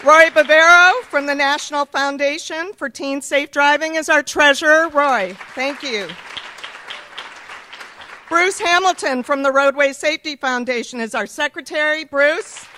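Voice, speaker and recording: A woman with a high, bright and loud voice opens a conference from a podium microphone. Her delivery is upbeat and formal as she reads through thanks and introductions. A faint hum sits behind her in the large room. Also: a little slow, some chatter behind. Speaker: CEO opening a summit. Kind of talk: welcome speech at a conference.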